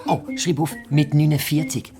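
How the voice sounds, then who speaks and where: A woman's voice: sits low, flat delivery, normal speed, a crowd in the background, natural voice. A woman playing a reluctant, sarcastic schoolboy twin in a children's detective audio drama, slangy and exasperated. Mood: gleeful, mocking.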